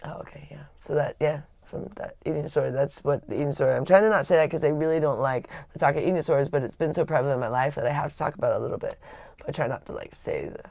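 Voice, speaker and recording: A woman with a low, raspy voice and a gravelly edge. She talks candidly and wanders as she tells her story, often stopping to restart a sentence. The sound is dry and close. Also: racing, a little mellow, no room sound. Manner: rambling and confiding, with frequent restarts. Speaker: livestream host.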